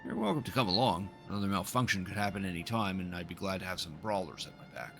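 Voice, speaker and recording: A man's voice: deep voice, lively intonation, quick pace, vocal fry. A man livestreaming a game, reading its dialogue aloud with casual, slangy asides. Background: video game music.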